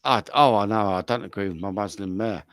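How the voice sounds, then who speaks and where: A man with a British accent telling casual, cheeky stories, often halting and repeating himself. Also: on the low side, no room sound, clean studio sound. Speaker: talk show regular. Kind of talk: casual bawdy storytelling.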